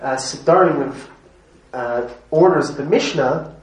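A man's voice: mid-range voice, unhurried. A man from Montreal teaching an informal class, chatty and quizzing his students. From Montreal, Canada. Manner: chatty, asks the group questions, laughs often. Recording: echoey room, mic at a distance, faint noise.

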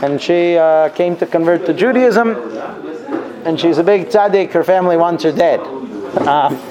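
A man giving a religious class as its teacher, explaining deliberately and telling stories as he goes. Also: a little high, animated, crowd noise, rough recording. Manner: deliberate, explanatory, repeats and translates Hebrew phrases. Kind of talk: Torah class lecture with storytelling.

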